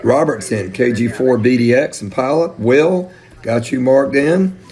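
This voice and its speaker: A man's voice: mid-range voice, measured pace, a little mellow. A man working as net controller, calling a procedural roll call on a ham radio net.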